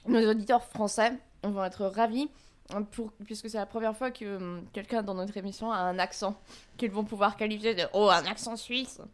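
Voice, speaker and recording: A woman's voice: mid-range voice, fairly even intonation, moderate pace. A young woman with a Parisian French accent, co-hosting a casual chat, teasing and blunt. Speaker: co-host.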